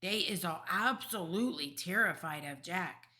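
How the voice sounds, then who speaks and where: Woman as a cautious, bright-voiced friendly giant. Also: fairly low pitch, expressive, normal speed. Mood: hushed, earnest. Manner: hushed, urgent explaining. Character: gentle, cautious male giant, folksy and muddled in grammar.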